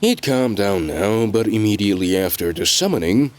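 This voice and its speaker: A man reading scripted dramatic narration in a measured storytelling delivery, with deliberate pauses. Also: lowish voice, expressive.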